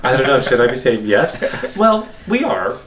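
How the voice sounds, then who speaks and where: A man's voice: mid-range voice, very animated, normal speed. A tired man with a Northern Ontario French accent, co-hosting a casual chat with playful, teasing banter. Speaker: podcast co-host. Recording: echoey room, mic at a distance, faint noise.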